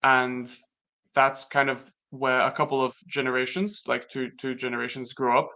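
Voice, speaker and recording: A young man from Latvia whose first language is Latvian, talking through personal experiences in a casual conversation, reflective and halting with many restarts. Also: mid-range voice, fairly even intonation, bright, forceful tone. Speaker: guest. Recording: dull lo-fi sound.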